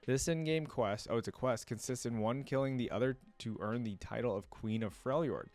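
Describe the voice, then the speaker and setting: A man's voice: medium pitch, fairly quick, darkish tone. Casual man reacting and thinking aloud. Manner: conversational, thinking aloud, often musing to viewers. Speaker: reaction video host. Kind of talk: casual reaction commentary, reading wiki text aloud.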